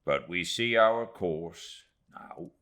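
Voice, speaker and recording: A man voicing a grave, steadfast old seafaring man in an audiobook, solemn and halting, with rustic speech. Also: sits low, lively intonation, a bit bright, heavily acted. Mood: grave, weary. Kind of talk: audiobook character voice.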